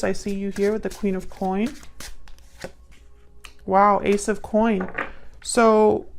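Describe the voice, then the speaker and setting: Even-toned, gravelly woman reading tarot. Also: mid-range voice. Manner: musing, halting, thinking aloud while reading cards. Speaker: tarot reader, host. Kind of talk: improvised tarot card reading to viewers.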